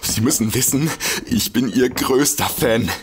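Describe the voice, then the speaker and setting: An actor playing an excitable, smitten, bumbling detective who stammers and gushes in a comedic audio drama. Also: male voice, mid-range voice, unhurried, a little hiss or hum, lightly acted. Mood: gushing admiration. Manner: stammering, gushing, giggly, easily flustered.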